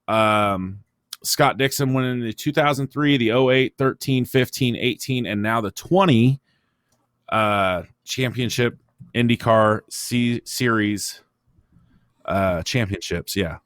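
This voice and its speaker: A man hosting a motorsport podcast, giving his opinions in casual, halting talk as he thinks aloud. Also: medium pitch, a bit bright, no echo, studio quality. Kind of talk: casual racing discussion, opinionated.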